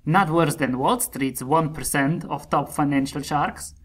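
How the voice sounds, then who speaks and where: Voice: medium pitch, measured pace, natural voice. A performer plays a cynical autocrat holding forth in satirical verse. The voice is commanding and sardonic, declaiming each rhymed line with shrewd scorn. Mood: sardonic, argumentative.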